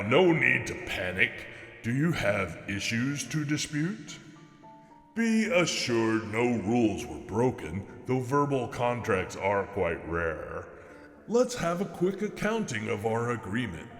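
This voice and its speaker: A sly demon acted out by a male narrator holds forth in a spooky storybook poem, pompous and falsely polite. The voice swoops theatrically and lingers on key words with slow relish, ringing in a long reverb. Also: lowish voice, a bit bright, full character voice. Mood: smug, mock-courteous. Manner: theatrical, pompous, legalistic, drawn-out emphasis. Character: sly, gloating male demon, mock-courteous trickster in business suit. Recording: echoey reverberant hall effect, faint music.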